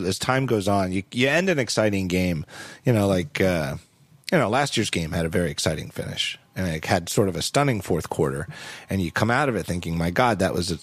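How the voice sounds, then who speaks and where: A slightly hoarse man hosting a podcast in casual chat, rambling with frequent restarts and self-corrections. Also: on the low side, no echo. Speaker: podcast host.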